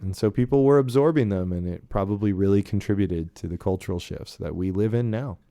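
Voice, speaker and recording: A man with a low, mellow voice hosts a casual podcast discussion. He thinks aloud, hesitating and tagging his phrases with little check-ins. The sound is close and dry. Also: a little fast, dry recording. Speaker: podcast host. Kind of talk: casual podcast discussion of old radio shows.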